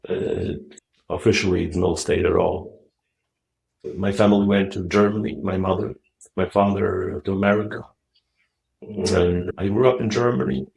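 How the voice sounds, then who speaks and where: A middle-aged man from Moldova, a native Russian speaker, talking softly and haltingly with many fillers as a guest on a video call. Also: low-pitched, flat delivery, creaky, rough recording. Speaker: guest, Zen teacher. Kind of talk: relaxed interview talk. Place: dim wood-walled room.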